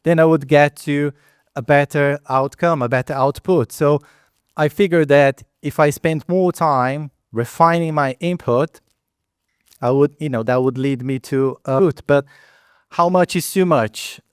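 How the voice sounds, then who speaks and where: A man's voice: a little high, measured pace, smooth, no room sound, studio quality. A middle-aged man from Brazil with a Brazilian Portuguese accent, giving a conversational conference talk as a product lead, with many fillers, restarts and non-native phrasing. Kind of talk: conference presentation. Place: event hall at a meetup.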